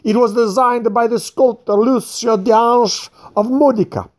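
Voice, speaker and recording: A reader voicing a pompous eighty-two-year-old rich man with an Italian accent who speaks English slowly, carefully and formally. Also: medium pitch, big pitch swings, a little mellow, voice pushed up. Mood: indignant. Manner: slow, careful, formal, bowing.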